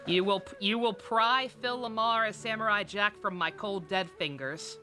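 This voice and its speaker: A woman's voice: mid-range voice, moderate pace, sharp tone. A woman live streaming a game with casual, candid commentary, rambling and stammering, with frequent swearing. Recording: game music behind the voice.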